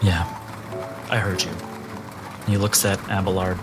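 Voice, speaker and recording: Male game master, low, unhurried narration over music. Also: level tone. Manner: slow, descriptive.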